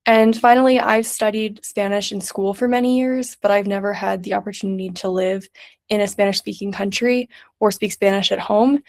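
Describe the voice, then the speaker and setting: Young woman doing a student presentation, kinda creaky voice, reads off her notes step by step. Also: medium pitch, darkish tone. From New York City. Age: young adult. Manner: clear, methodical, reading from prepared notes. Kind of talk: prepared slideshow presentation.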